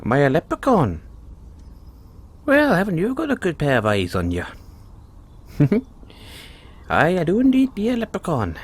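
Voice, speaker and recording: A man's voice: a little high, huge pitch swings, moderate pace, pauses often, breathy edge, exaggerated voice. A man playing a cheerful, kindly leprechaun with a teasing, folksy manner, in an audio roleplay spoken to the listener. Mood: amused, teasing. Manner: chuckling, teasing, folksy talk. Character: cheerful, kindly male leprechaun, chatty and sly.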